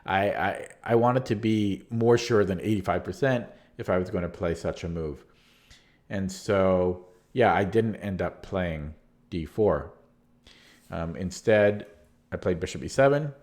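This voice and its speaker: A man's voice: low voice, measured pace. A man, losing his voice, hesitantly and candidly talking through a chess game he played, reading from his notes. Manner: hesitant, many restarts, relaxed and candid. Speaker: chess channel host reviewing his own game. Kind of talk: casual game analysis from notes.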